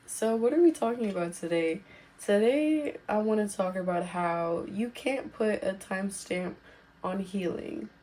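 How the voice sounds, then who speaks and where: A woman hosting a podcast as casual solo girl talk, informal and reassuring, her voice slightly raspy as she recovers from being sick. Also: fairly low pitch, dark tone, rough recording. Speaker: podcast host.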